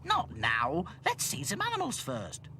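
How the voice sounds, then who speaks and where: Man doing a high, chirpy, flustered mother. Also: huge pitch swings, a little slow, pushed and bright, slight background noise, exaggerated voice. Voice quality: high-pitched. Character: chirpy, easily flustered mother of twin boys.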